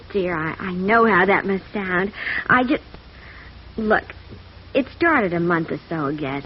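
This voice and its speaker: An American actress playing a flustered, defensive stage performer in a 1950s radio drama, hesitant and earnest. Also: female voice, mid-range voice, lively intonation, slow pace. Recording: muffled, faintly noisy vintage radio recording.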